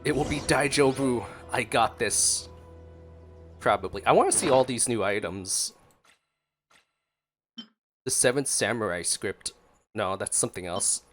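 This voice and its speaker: A man's voice: medium pitch, a little slow, pauses often, a bit bright. A man giving casual, playful commentary as he plays a video game, full of offhand asides. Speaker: streamer reacting to a game. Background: game music.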